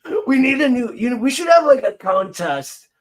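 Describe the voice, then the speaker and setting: A man's voice: very high-pitched, very animated, normal speed, poor audio. A man from the United States hosting a casual interview, friendly and hesitant with many fillers. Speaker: host.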